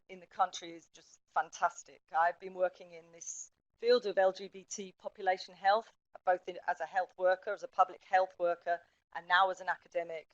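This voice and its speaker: A woman's voice: mid-range voice, dry recording, lo-fi sound. A woman giving a conference presentation, speaking in a friendly way and addressing the audience directly. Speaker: academic speaker.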